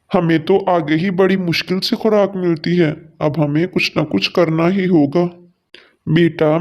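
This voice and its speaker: Man as a high-voiced earnest father sparrow. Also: darkish tone, natural voice. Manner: earnest, reassuring. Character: kindly, hard-up father sparrow, earnest planner. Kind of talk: cartoon storybook dialogue.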